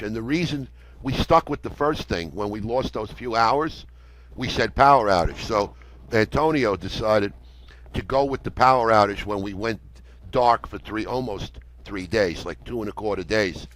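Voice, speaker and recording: A man's voice: lowish voice, fairly bright tone, no room sound, rough recording. An older American man, telling a story conversationally as an interview guest. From United States. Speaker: interview guest, ham radio operator.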